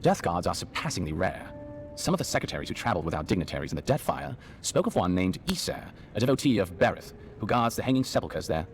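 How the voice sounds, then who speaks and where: An actor playing a learned, earnest archivist who explains lore precisely in a fantasy video game. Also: male voice, fairly low pitch, a little hiss or hum. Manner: bookish, precise. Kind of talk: video game dialogue, expository.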